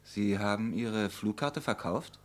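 An actor playing a sardonic, sceptical police investigator in a mid-century German radio crime play, questioning with pointed irony. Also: male voice, fairly low pitch, a little slow, close to an everyday voice. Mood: probing, ironic.

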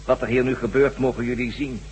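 An actor playing a calm, menacing, smug alien who commands others in a radio drama. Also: male voice, mid-range voice, fast talker, close to an everyday voice. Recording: hollow reverberant hall, faint hiss and hum.

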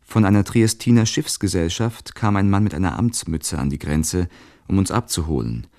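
A man's voice: low voice, normal speed, mellow. An actor playing a young emigrant man, telling his story matter-of-factly as a radio drama letter.

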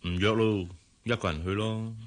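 A man's voice: fairly low pitch, fairly even intonation, moderate pace, lightly acted. A man acting in a Cantonese radio drama with a Hong Kong accent, playing a polite married boss who is awkwardly flirtatious. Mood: curious, awkward. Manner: hesitant, polite.

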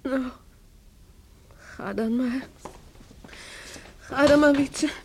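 A woman's voice: sits high, very slow, a little mellow, heavily acted. An actress playing an anxious, tender-hearted young village woman in a radio play, with an emotional, pleading manner. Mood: overwhelmed, anxious. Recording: faint noise and clicks, old radio recording.

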